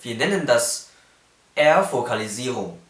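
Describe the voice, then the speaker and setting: A man's voice: medium pitch, expressive, takes it slowly, reverberant. A young man whose first language is Cantonese, speaking with a Cantonese accent as he explains phonetics in a casual vlog and carefully demonstrates sounds.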